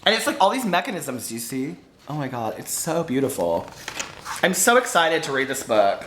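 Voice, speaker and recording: A man in his forties chatting casually and excitably to viewers as a book vlogger, gushing and a little campy. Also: on the high side, normal speed, slight background noise. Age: 40s. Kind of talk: casual chat to viewers, book tag video.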